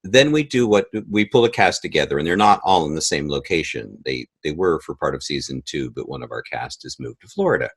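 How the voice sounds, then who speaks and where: Husky, very deep-voiced older man in interview. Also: lively intonation, fairly quick, few pauses, dry recording. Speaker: audio drama producer and composer, interview guest. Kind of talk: conversational interview.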